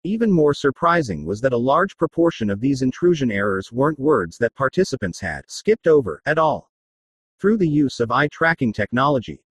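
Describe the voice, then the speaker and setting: A man narrating a scripted documentary report, explaining things clearly as a reporter who speaks English first. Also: mid-range voice, no room sound.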